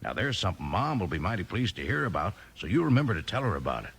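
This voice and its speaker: An actor playing a folksy cowboy pitchman in a 1950s radio advertisement, husky and enthusiastic as he sells to children. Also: very animated, quick pace, a bit bright, full character voice. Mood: upbeat, folksy. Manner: folksy, bouncy sales talk.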